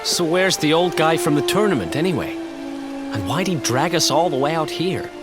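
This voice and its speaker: A man's voice: on the high side, lively intonation, moderate pace, loud music behind the voice, lightly acted. An actor playing a blunt, impatient young male adventurer in a video game.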